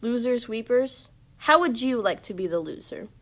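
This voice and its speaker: Woman playing a high-voiced, patient puppet mother. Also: lively intonation, normal speed, close to an everyday voice. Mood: patient, instructive. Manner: patient, instructive. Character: gentle, principled mother guiding her young son. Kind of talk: church puppet show dialogue.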